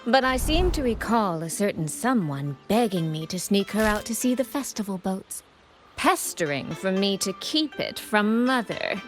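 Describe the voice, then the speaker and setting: A woman's voice: mid-range voice, sing-song, moderate pace, close to an everyday voice. A woman acting a poised, teasing elder sister. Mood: playful teasing. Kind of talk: video game voice acting. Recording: music behind the voice.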